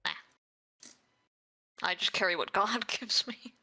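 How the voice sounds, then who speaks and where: A woman around thirty chats as a player in a tabletop game session. Her voice is bright and sits at an average pitch, and her delivery is casual and playful, with a giggly way of talking. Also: expressive, takes it slowly. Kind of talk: casual chat while making a game character.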